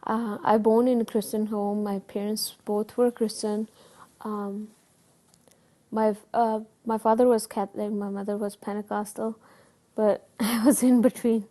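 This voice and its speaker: A woman's voice: medium pitch, little pitch movement, measured pace, dark tone. Pakistani woman, earnest and hesitant. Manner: earnest, hesitant, many fillers and restarts. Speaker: guest telling her story. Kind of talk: personal testimony.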